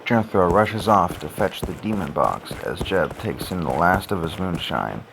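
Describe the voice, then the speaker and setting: A man serves as the narrator of an audio story. His voice is very deep and he delivers scripted scene descriptions over background noise. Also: animated, a little slow, vocal fry, a bit bright.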